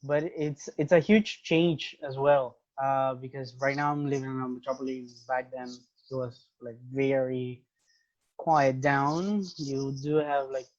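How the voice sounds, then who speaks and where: A man's voice: medium pitch, fairly even intonation, unhurried. Venezuelan man speaking English, lo-fi sound. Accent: Venezuelan Spanish. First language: Spanish. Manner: thoughtful, restarts phrases while searching for English words. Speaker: guest. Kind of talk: casual interview conversation.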